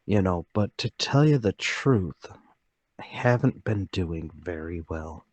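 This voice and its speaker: A man's voice: sits low, animated, slow pace, no room sound, full character voice. A man playing a meek, troubled middle-aged salesman in a radio play table read, hesitant and apologetic. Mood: uneasy, apologetic.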